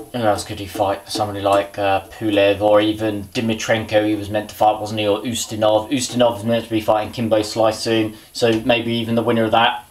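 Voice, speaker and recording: A man, chatting casually about boxing while cooking in his small kitchen, rambling and muttering to himself, recorded on a camera's built-in mic. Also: lowish voice. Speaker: boxing vlogger. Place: small home kitchen indoors.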